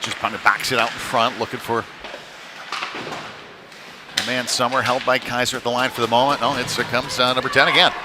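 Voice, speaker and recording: Clear rink noise surrounds a man commentating live on a youth hockey game. His voice sits at an average pitch for a man. He calls the play in a halting, chatty manner, sprinkled with homespun slang. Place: indoor ice rink during a live game. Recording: noisy rink, clear background ambience. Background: rink noise and game ambience.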